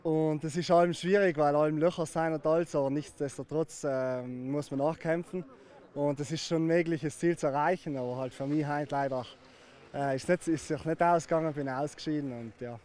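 Male skier, rambly fast talk, a bit high voice, outdoors. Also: fairly even intonation, a little mellow. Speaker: athlete.

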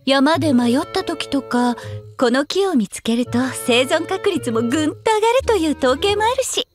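A woman's voice: high-pitched, expressive, normal speed, soft background music. A woman playing a bubbly, teasing, eccentric food-loving young woman in a Japanese anime audio drama, with a playful singsong delivery. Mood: cheerful, explaining.

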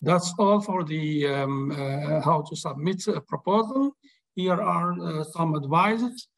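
A man hosting an online webinar, explaining steps with many pauses and fillers, on a video call mic. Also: a little high, gravelly. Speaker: webinar host. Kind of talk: webinar presentation. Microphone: webcam on a video call.

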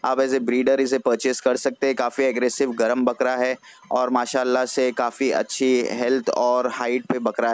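A man's voice: medium pitch, clean tone, dry recording. The man you would hear narrating an online tour of animals for sale, repeating his favourite phrases with English mixed in, recorded dry. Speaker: video channel host. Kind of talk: vlog presentation of livestock for sale.

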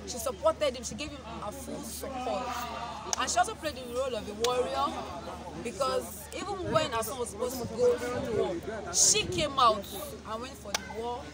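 A woman's voice: sits high, lively intonation, normal speed, rough recording. A young woman, a stage actor, answering interview questions outdoors. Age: young adult. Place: open outdoor yard among crowd. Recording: loud crowd chatter outdoors.

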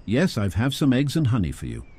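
A man's voice: mid-range voice, huge pitch swings, brisk, husky, voice pushed up. A man voicing a cheerful, curious young girl who helps out on a farm, talking eagerly. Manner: eager, bright, upbeat.

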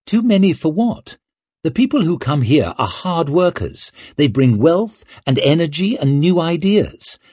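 A man voicing a cool, ruthless young noblewoman with a crisp, commanding manner, raised well above his own voice. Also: on the high side, big pitch swings, darkish tone, no room sound, voice pushed up, full character voice. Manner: crisp, commanding, quick to argue.

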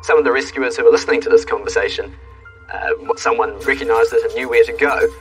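A man's voice: mid-range voice, quick pace, a bit bright. A man explaining events as an expert interviewee in a documentary. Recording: distant mic with music.

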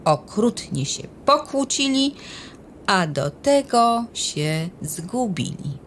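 A woman's voice: mid-range voice, very animated, slow pace, a little hiss or hum. A woman narrating a rhymed children's Christmas story expressively, sometimes dropping to a whisper.

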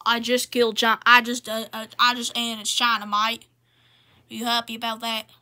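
Woman putting on a brash, bright-voiced Aussie bloke. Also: fairly high pitch, monotone, normal speed. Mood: boastful, matey. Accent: Australian, put on. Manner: brash, matey, repetitive slangy phrasing. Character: Australia as a cheerful, knife-happy Aussie bloke. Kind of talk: improvised comedy character skit.

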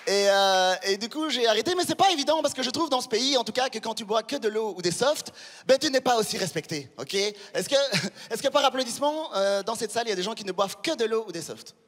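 Guy doing standup into a handheld mic, high-ish voice, punchy and teasing, crowd murmur and some noise behind. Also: lively intonation, brisk, fairly bright tone, long reverb tail. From Rwanda. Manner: punchy, conversational, teasing the audience. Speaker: stand-up comedian. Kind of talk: stand-up comedy routine. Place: large theatre stage before a live audience. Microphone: handheld microphone.

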